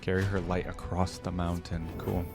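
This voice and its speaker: A man's voice: low voice, monotone, normal speed, over music. A man hosting a casual reaction video, informal and thinking aloud as he comments.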